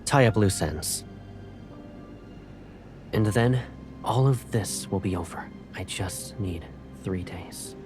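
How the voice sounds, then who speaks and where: An actor playing a possessive, protective young military colonel in a video game, speaking with controlled, intimate intensity. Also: male voice, low-pitched, slow, pauses often, over music. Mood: resigned. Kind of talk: dramatic video game cutscene acting.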